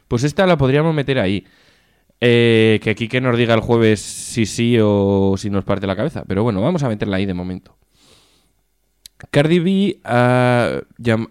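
A man's voice: mid-range voice, darkish tone, smooth, no room sound, studio quality. A man with an accent from Spain co-hosts a casual radio chat show in an informal, jokey way, swearing freely. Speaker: co-host of a music and chat show.

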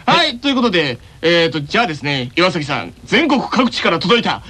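A man's voice: high voice, sing-song, brisk, pushed and bright, a little hiss or hum. A man co-hosting a radio show, chatting casually, teasing and laughing often. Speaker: radio show co-host, voice actor. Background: music.

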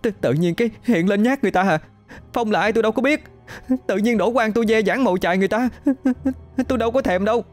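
A man's voice: lively intonation, a little mellow, soft background music. A man voicing a frightened young female student who protests and pleads through tears. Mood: tearful indignant. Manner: shrill, sobbing, rapid protesting.

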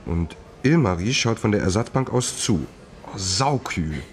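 A man's voice: lowish voice, big pitch swings, a little slow, slight background noise. An actor playing a laid-back male scriptwriter who talks in a casual, joking way in a radio play.

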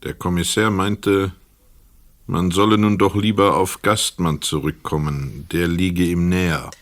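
A man narrating a scripted literary radio play in a measured, sober way. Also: low-pitched, fairly even intonation.

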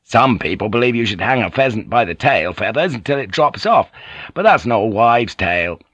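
A blunt, irritable European seafarer, as a male narrator plays him, full of firm opinions. His pitch moves around a lot, and the audiobook recording is close and dry with a dulled top end. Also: sing-song, normal speed, fairly bright tone, dry recording, lo-fi sound. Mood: matter-of-fact.

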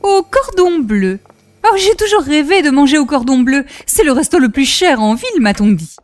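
An excitable lady in a radio comedy, gushing in a high, swooping voice. She exclaims with delight like a thrilled dinner guest. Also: moderate pace. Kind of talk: comedy radio play acting in old-time radio format.

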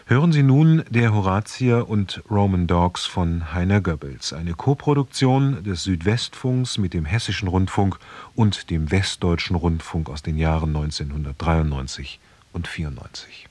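A man introducing a radio play as announcer, reading scripted narration in a measured, formal manner. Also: low-pitched, lively intonation, darkish tone, no echo.

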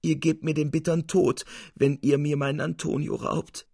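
Man voicing a pleading young niece, raised pitch. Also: flat delivery, moderate pace, vocal fry, warm tone, dry recording, clean studio sound. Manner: imploring, tender.

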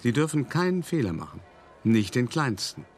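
An actor playing a cultured, self-satisfied male art forger, confessing in a measured, reflective, articulate radio drama monologue. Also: medium pitch, very animated, husky, faint music behind. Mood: precise, proud. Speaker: first-person narrator.

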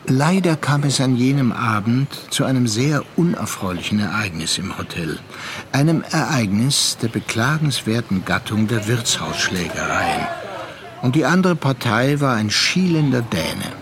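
An actor narrating a literary radio play as a seasoned seafarer, reflective and measured. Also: male voice, medium pitch, big pitch swings, a bit bright, slight background noise. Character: seasoned male seafarer recounting a troubled younger friend's story. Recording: studio radio play with music underneath. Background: music.

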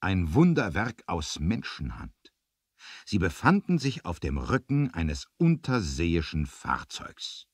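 A man narrating an adventure radio play as an expressive, suspenseful storyteller with a husky voice. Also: low-pitched, takes it slowly, no echo, clean studio sound.

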